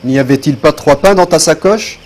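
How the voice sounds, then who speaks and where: A man playing a calm, patient prophet in an animated cartoon, speaking in a composed, formal manner. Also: fairly high pitch, moderate pace, close to an everyday voice. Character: calm, kindly male prophet, patient and serene. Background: music.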